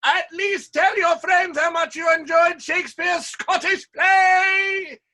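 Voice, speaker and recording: Exasperated stage manager and playwright: very high, bright, declamatory, theatrical audio drama. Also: male voice, fairly even intonation, takes it slowly, full character voice. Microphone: video call recording.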